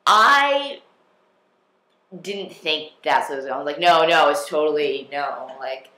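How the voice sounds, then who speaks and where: A young man speaking slowly and haltingly into a built-in camera mic, his voice ringing in a small echoey room. He rambles in a casual, self-deprecating way, full of fillers and restarts. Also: on the high side, lively intonation, bright, forceful tone. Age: young adult. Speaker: vlogger. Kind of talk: casual personal vlog.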